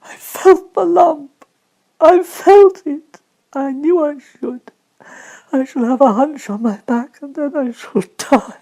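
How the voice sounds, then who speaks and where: A woman's voice: sits high, slow, warm tone, voice pushed up, poor audio. A woman voicing a sickly, hysterical boy who wails and sobs. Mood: sobbing, hysterical. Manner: wailing, choked sobbing.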